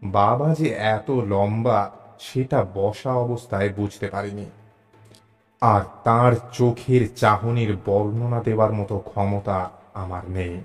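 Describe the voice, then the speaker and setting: Slow, measured male storyteller over music. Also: lowish voice, fairly even intonation. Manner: measured, deliberate, suspenseful delivery with pauses. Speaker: narrator of a suspense audio story. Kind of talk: dramatic first-person story narration. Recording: narration over clear background music.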